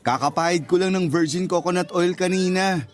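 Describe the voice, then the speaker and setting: High-voiced oblivious young man, played by an actor. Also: normal speed, lightly acted. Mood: innocent, puzzled. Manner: innocent, puzzled, plain-spoken. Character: oblivious, shy, good-natured young man, a loyal cake customer. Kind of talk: Tagalog radio drama acting.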